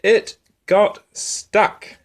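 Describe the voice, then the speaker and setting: A man reading a rhyming picture book aloud for young children in an expressive, rhythmic way, as a storytime host. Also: very slow.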